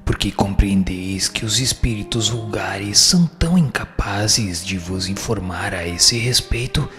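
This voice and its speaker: A man's voice: fairly low pitch, expressive, a little slow, a bit bright. A man reading scripted answers in a formal, didactic manner. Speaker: reader of the answers. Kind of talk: scripted reading of a spiritist text. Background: music.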